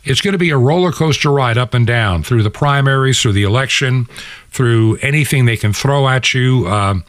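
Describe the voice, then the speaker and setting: A man's voice: mid-range voice, dry recording. A man hosting a radio show, delivering an opinionated political monologue in an emphatic, conversational way with rhetorical asides. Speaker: radio and podcast host.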